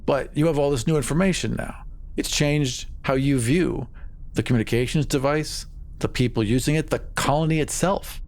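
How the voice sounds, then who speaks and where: A man playing a smooth-talking, evasive colony leader in improvised tabletop roleplay, assured and persuasive. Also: medium pitch, moderate pace, a little mellow, close to an everyday voice.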